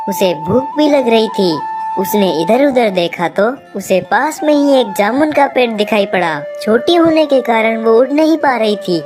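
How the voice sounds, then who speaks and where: A woman narrating a scripted moral story for children as the storyteller. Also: fairly high pitch. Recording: clear music behind the voice.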